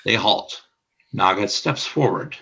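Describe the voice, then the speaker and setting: A man's voice: on the low side, lively intonation, slow pace, creaky, pushed and bright, husky. A man reading scripted narration for a radio play, describing the action in the present tense.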